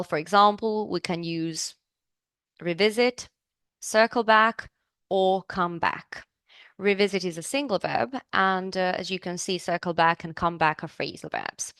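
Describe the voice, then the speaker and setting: A woman's voice: on the low side, a bit bright, clean tone, dry recording. A woman from Serbia, teaching business English in a live online class, upbeat and chatty, asking the class questions.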